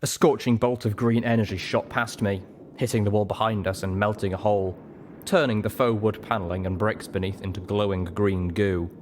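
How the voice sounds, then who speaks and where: A man reading a story aloud as expressive, scripted first-person narration. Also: fairly low pitch, moderate pace, a little hiss or hum. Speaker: audiobook reader.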